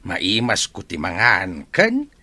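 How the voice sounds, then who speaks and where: This is a jolly old grandfather, played by a man in a radio drama, folksy and fond of his drink. His voice swings widely in pitch, with a forceful edge and a close, dry sound. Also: wildly swooping pitch, slow, no room sound, exaggerated voice. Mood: jolly, wheedling. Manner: chuckling, folksy, exclamatory. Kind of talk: Ilocano radio drama acting.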